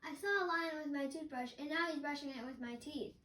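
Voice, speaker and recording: A woman's voice: high voice, brisk, pushed and bright, voice pushed up. A woman voicing a small, funny, stubborn little girl from a storybook, insistently and matter-of-factly making excuses. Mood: earnest excuse-making. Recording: echoey room, distant microphone.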